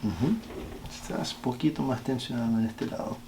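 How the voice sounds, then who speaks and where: A man working as a chiropractor, calmly guiding a patient through treatment with short, polite, reassuring phrases. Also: mid-range voice, normal speed. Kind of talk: calm hands-on treatment instructions to a patient. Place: echoey indoor treatment room. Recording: echoey room with loud background noise.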